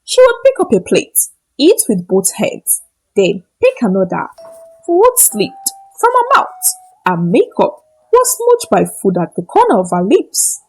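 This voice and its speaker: A woman narrating a scripted moral folktale in short, deliberate, dramatic phrases. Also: fairly high pitch, huge pitch swings, darkish tone, slightly rough, low-quality recording. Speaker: storyteller.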